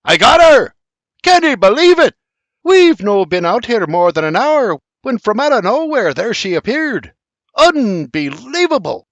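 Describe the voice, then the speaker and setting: A man's voice: fairly high pitch, sing-song, unhurried, fairly bright tone, dry recording, exaggerated voice. A man voicing a fatherly, joking old Scottish nobleman in broad dialect. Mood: hearty. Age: older. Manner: boisterous, teasing, broad dialect.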